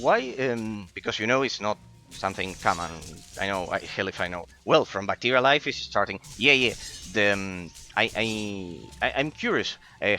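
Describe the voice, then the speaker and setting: A man's voice: lowish voice, bright, forceful tone. A man in his late twenties whose first language is Spanish, chatting casually with stream viewers while gaming and often stumbling and repeating words as he starts sentences. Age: 20s.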